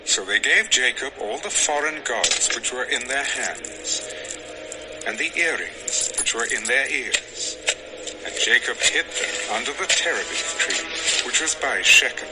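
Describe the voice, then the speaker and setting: A man narrates scripture in a dramatized audio style. His mid-range voice moves expressively up and down while staying measured. Music runs clearly beneath the narration. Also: mid-range voice, lively intonation, rough recording.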